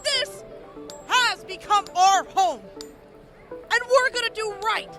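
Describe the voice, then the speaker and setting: An actor playing a composed female army major reporting on her unit, measured and earnest. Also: extremely high pitch, over music. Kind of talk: audio drama acting.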